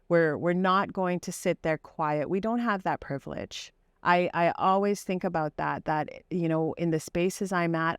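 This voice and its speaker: A woman's voice: low voice, dry recording, clean studio sound. A woman in her forties, a psychologist and advocate, speaking earnestly and passionately in an interview, with frequent fillers. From Canada. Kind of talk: interview conversation.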